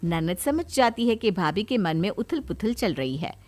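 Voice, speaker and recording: A woman's voice: mid-range voice, big pitch swings, normal speed. A woman storyteller narrating a scripted comic tale.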